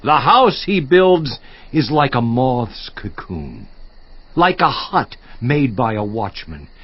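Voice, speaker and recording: A man's voice: medium pitch, very animated, takes it slowly, slightly rough, heavily acted. An actor playing an anguished, defiant righteous man in a theatrical, emphatic scripture monologue. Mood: grave. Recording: slightly muffled audio.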